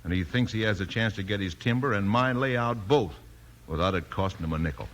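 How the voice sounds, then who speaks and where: An actor playing a beleaguered frontier logging boss in a 1950s radio western, earnest, exasperated and folksy. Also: male voice, lowish voice, brisk, a bit bright, natural voice. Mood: bitter. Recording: noisy 1950s radio recording.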